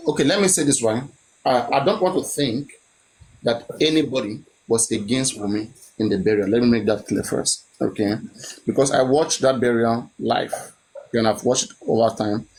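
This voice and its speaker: A man's voice: mid-range voice, a little mellow, a little hiss or hum, poor audio. A man, giving his opinion in a chat and pressing his points with repeated rhetorical questions.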